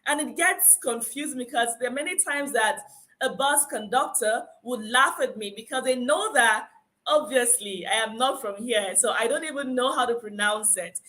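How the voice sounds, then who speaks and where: Nigerian woman lecturer, high bright voice, encouraging and emphatic phonics teacher. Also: moderate pace, rough recording. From Akwa Ibom, Nigeria. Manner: encouraging, emphatic, repeats key points. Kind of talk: conference lecture.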